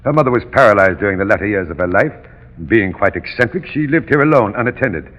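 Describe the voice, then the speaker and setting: An actor playing a genteel, controlling older uncle in a gloomy castle, formal and theatrical in 1930s radio melodrama style. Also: male voice, on the low side, animated, fast talker, a bit bright. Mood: grave, explanatory. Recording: dull, echoing 1930s radio recording.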